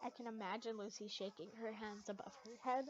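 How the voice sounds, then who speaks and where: A woman's voice: mid-range voice, steady tone, a little fast. A young woman narrating fan fiction and chatting casually to her channel's followers. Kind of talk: expressive first-person story narration and casual vlog outro. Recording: dull lo-fi home recording.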